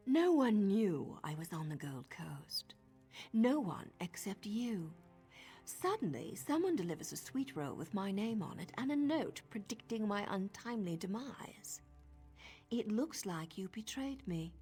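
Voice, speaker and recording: An actress voicing a sly, seductive assassin in a video game, with a cool, teasing and menacing manner. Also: female voice, medium pitch, sing-song, measured pace, dark tone. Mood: cool threat. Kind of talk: video game character dialogue. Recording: music behind the voice.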